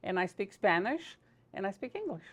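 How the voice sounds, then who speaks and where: A woman from Brazil, a Portuguese speaker, answering interview questions as a political candidate in earnest, halting English. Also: medium pitch, big pitch swings, a bit bright.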